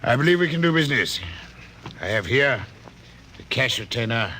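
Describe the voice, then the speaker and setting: An actor playing a courteous, self-possessed Martian businessman in a radio drama, speaking with formal, precise, measured diction. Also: medium pitch, lively intonation, pushed and bright. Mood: measured, businesslike. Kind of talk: old-time radio drama acting. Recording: noisy old radio recording.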